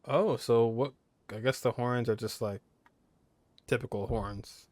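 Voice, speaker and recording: A man's voice: medium pitch, measured pace, darkish tone, no echo. A man giving casual playthrough commentary as a gaming streamer, musing aloud in a laid-back way.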